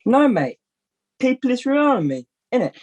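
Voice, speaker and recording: A young woman acting a laid-back, self-important puppet with husky, slangy, casual talk in an amateur puppet show. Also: on the high side, big pitch swings, normal speed, a little mellow. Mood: casual, impatient.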